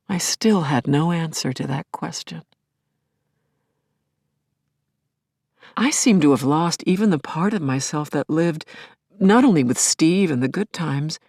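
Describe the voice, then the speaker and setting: A woman's voice: low voice, darkish tone, husky, no room sound, studio quality, heavily acted. An actress playing a grieving middle-aged widow in an audio play, telling her story in a searching, intimate way that can drop toward a whisper. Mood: searching, self-questioning. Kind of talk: dramatic first-person monologue, audio play.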